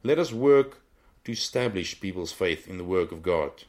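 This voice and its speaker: A man with a very low voice hosts a Bible teaching podcast. He talks off the cuff in an earnest way, often pausing to find the next word. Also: expressive, darkish tone. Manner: earnest, searching for words as he goes. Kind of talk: unscripted teaching talk.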